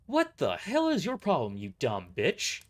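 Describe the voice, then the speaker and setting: A man's voice: a little high, wildly swooping pitch, measured pace, voice pushed up. A man voicing a furious, foul-mouthed small woman squad leader in an indignant shriek for an audio drama. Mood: hostile. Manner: indignant, swearing, emphatic.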